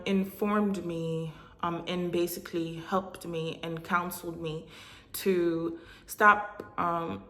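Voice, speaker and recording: Slow, halting woman in an echoey room. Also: lowish voice, fairly bright tone. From Hispaniola. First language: Haitian Creole. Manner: halting, many pauses and filler sounds. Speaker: religious vlogger. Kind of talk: direct-to-camera prophetic message.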